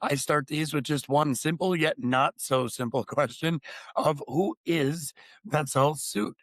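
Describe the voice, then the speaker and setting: A man's voice: fairly high pitch, measured pace, a bit bright, no echo. A man hosting a podcast, welcoming his guest in an upbeat, casual way. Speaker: podcast host.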